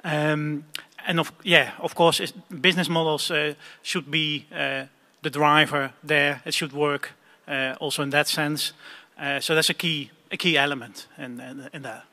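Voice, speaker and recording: A man giving an impromptu group readout at a roundtable, halting often as he searches for words. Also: fairly high pitch, fairly even intonation, pushed and bright. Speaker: group spokesperson reporting back.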